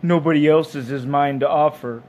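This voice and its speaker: A man's voice: on the high side, fairly even intonation, normal speed, a little mellow, lightly acted. A man voicing a large, fat, placid talking cow in a storybook, with a polite waiter's manner, eager to please. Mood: contented, ingratiating. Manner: polite, obliging waiter-like delivery.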